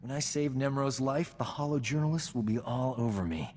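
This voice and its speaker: A man's voice: medium pitch, a little fast, darkish tone, natural voice. A man plays a boastful, charming rogue of a doctor for a game cutscene. He talks in a cocky, self-admiring voice, with long reverb and some noise around it. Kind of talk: video game cutscene acting.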